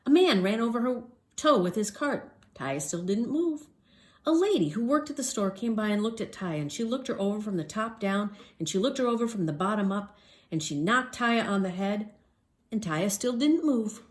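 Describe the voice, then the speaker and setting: Mujer cuentacuentos de entonación muy animada. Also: female voice, medium pitch, moderate pace. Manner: expressive, emphatic. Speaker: storyteller. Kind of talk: children's story read-aloud.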